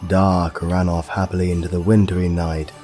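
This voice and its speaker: Man playing a low, deadpan British straight man. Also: moderate pace, faint music behind, lightly acted. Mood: storytelling. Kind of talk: comedic audio roleplay and storybook narration.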